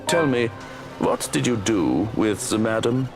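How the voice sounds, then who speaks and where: A menacing game henchman, acted out by a man, toying with his victim in mock-polite taunts. His slightly low voice swings up and down with cruel amusement, over background music. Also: animated, unhurried. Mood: mocking menace. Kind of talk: visual novel villain dialogue, read aloud.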